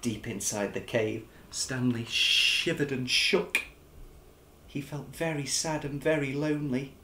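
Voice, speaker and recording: A man, reading a children's storybook aloud with dramatic, animated expression. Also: mid-range voice, a little slow, roomy sound, background noise. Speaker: children's author reading his own book. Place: furnished living room, indoors.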